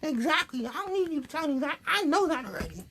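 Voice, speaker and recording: Man voicing a very high, sassy girl puppet. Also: fast talker, exaggerated voice. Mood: sassy, cheeky. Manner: cheeky, deadpan comebacks. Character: sassy young girl puppet, Cindy Hot Chocolate. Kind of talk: puppet show banter.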